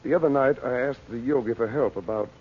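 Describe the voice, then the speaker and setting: An actor playing an earnest, determined mystic adventurer in an old-time radio serial. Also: male voice, medium pitch, fast, natural voice. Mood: earnest, reassuring. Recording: dull, noisy vintage radio recording.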